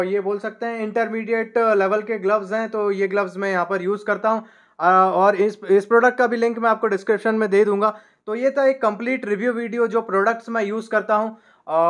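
A young man in his twenties gives a casual review of his vlogging gear, talking to the camera from a desk in a small room through a podcast mic on a boom arm and filling his pauses with "uh". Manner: relaxed, many "uh" fillers, shows each item.

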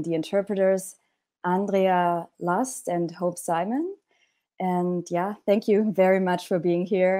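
German-accented woman moderator, low and warm, welcoming with frequent ums, earphone mic on a video call. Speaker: panel moderator. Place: indoor room at home.

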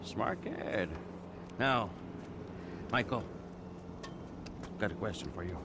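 An actor playing a menacing, condescending middle-aged crime boss in a video game, with deliberate, mocking politeness. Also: male voice, lowish voice, lively intonation, gravelly, noisy background, exaggerated voice. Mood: coldly condescending. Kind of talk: video game cutscene acting.

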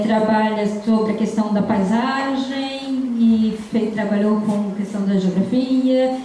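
A middle-aged woman moderates an academic event in an informal way. Her voice is fairly high and soft-toned. It rings heavily around the room, picked up from a distance. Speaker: event moderator introducing speakers. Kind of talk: informal spoken introduction at a conference. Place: large dim seminar room. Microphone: handheld microphone into room speakers, recorded by distant camera. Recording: echoey room, distant mic, background noise.

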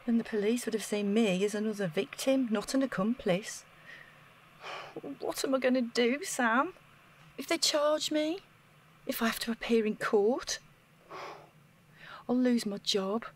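An actress playing an anxious, self-doubting woman in an audio drama, speaking in a flustered, halting way. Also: on the high side. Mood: subdued, shaken, then panicked.

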